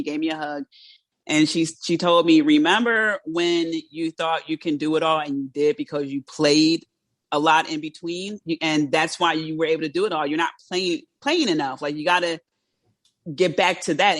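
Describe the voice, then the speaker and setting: A woman's voice: low voice, dry recording. A woman host with a South Philadelphia accent, chatting casually and laughing often, her speech a little thick from dental brackets. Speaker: podcast host. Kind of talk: casual interview chat.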